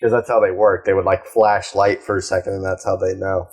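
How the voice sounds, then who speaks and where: A man's voice: sits low, flat delivery, a little fast. Laid-back young man, rambling and profane. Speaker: podcast co-host. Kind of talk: casual chat.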